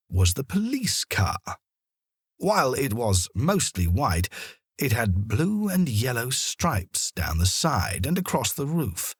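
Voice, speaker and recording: A man narrating a children's story in an expressive storytelling manner, with deliberate pauses. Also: medium pitch, husky, no echo, studio quality. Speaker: audiobook narrator.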